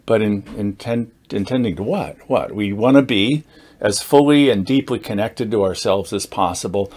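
A man in his sixties speaks in a fairly low voice, talking informally to camera as the host of a group. He explains in a measured, somewhat halting way, leaning on key words with deliberate emphasis. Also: a little mellow. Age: 60s. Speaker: meetup founder and host, teacher. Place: indoors, small studio with dark curtain backdrop.

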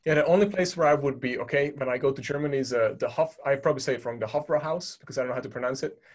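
A man's voice: mid-range voice, rapid-fire. A man from Hungary with a Hungarian accent, hosting a casual podcast and thanking his guest often. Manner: friendly, effusive thanks, fillers. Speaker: podcast host.

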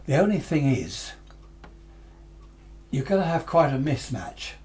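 An older man with a medium-pitched voice. He explains in a conversational, unscripted way, like a hobbyist giving a workshop tutorial. He often restates his points in slightly different words. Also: a little mellow. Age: 60s or 70s. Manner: conversational, explanatory, repeats and rephrases himself. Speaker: amateur radio enthusiast presenting a tutorial. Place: small cluttered home radio workshop, indoors.

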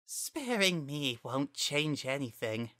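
A man's voice: very animated, slow pace, pushed and bright, full character voice. A man voicing a small, spiteful, menacing flower creature who shrieks and taunts. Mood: smug taunting. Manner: high taunting shrieks, cackling.

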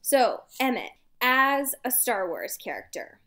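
Giggly high-voiced woman co-host. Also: sing-song, slow. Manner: giggly, trails off softly at sentence ends. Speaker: podcast co-host. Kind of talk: casual chat.

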